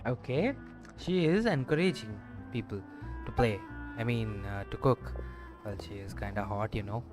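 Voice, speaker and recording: A man giving casual gameplay commentary in a hesitant, halting way. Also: on the low side. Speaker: game streamer. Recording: game music behind the voice.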